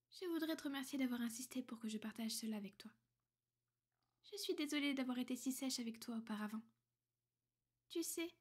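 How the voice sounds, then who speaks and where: Woman playing a breathy, high-voiced vampire noblewoman. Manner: soft-spoken, formal, measured, drifting into whispers. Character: powerful ancient female vampire noble, protective and affectionate. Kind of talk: French ASMR girlfriend roleplay.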